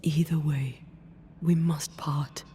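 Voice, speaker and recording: A woman playing a tender, resolute ghostly lover in a video game cutscene, hushed and breathy, close to a whisper. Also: low voice, steady tone, slow, a little hiss or hum. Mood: tender, resigned. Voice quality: breathy, creaky near-whisper.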